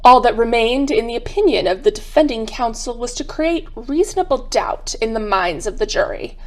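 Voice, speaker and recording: A woman narrating a radio mystery in a measured, formal, dramatic storytelling style. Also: fairly high pitch, expressive.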